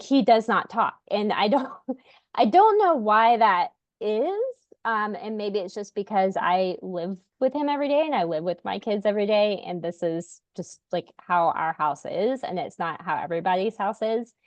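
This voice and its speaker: Woman, podcast host, casual chat, earnest, rambling, middle pitch, muffled lo-fi sound. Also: no echo. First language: English. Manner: earnest, rambling, many "like" fillers.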